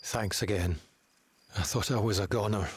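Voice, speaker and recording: A man's voice: on the low side, normal speed, husky. A man playing an earnest, loyal townsman in a video game drama, speaking with emphatic feeling. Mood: weary, urgent. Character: earnest townsman Roddy, loyal, frightened and indignant.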